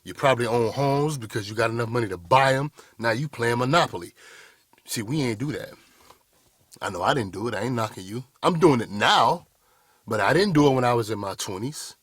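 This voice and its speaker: A man's voice: medium pitch, lively intonation, fairly quick, a bit bright, slightly rough, no room sound, clean studio sound. Blunt preachy man in his thirties. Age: 30s. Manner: blunt, preachy, addresses viewers as "y'all boys". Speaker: motivational speaker. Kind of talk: direct-to-camera motivational talk. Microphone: camera mic, speaking to camera.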